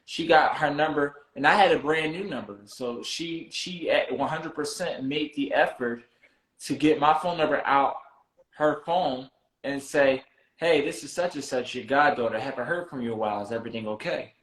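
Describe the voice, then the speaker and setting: A man's voice: medium pitch, moderate pace, fairly bright tone. A man in his thirties asking for advice in a casual chat, rambling and restarting his sentences. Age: 30s. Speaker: guest seeking advice. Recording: roomy echo.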